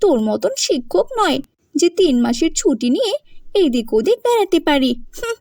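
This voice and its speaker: A woman's voice: very high-pitched, very animated, normal speed, no echo, clean studio sound, full character voice. A woman voicing a defiant, quarrelsome, boastful younger sister in a cartoon. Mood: defensive, haughty. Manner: sharp, argumentative. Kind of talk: cartoon voice acting.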